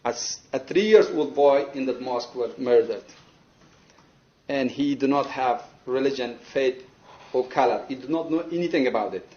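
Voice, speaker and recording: A man from Afghanistan with an Afghan accent giving a courtroom statement, earnest and halting but firm and resolute. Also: medium pitch, warm tone, dull audio. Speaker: attack survivor giving a victim statement. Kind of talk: courtroom statement, partly spontaneous.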